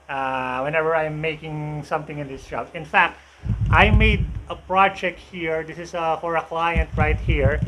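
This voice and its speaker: A man's voice: on the high side, bright, forceful tone, a little hiss or hum. A man in his thirties with a Filipino accent, hosting a casual tool review vlog in his home workshop, chatty with many filler uhs. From Philippines. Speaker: woodworking channel host. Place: home woodworking workshop, indoors. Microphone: camera on tripod, medium close.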